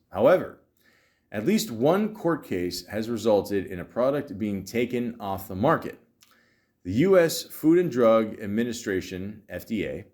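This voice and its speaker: Casting: male podcast host, lowish voice, loose rambling delivery with dry, profane asides. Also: a little mellow. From Canada. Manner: rambling, halting, profane, sardonic. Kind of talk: casual comedic podcast monologue, reading aloud with asides.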